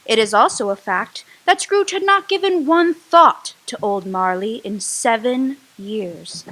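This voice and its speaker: Bright, suspenseful woman narrator. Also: fairly high pitch, lively intonation, a little slow, rough recording. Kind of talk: dramatic storytelling narration.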